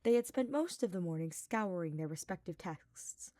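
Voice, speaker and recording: A woman's voice: on the low side, animated, moderate pace, mellow, no echo, studio quality. A woman reading a scripted story aloud as its narrator. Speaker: fan fiction podfic narrator.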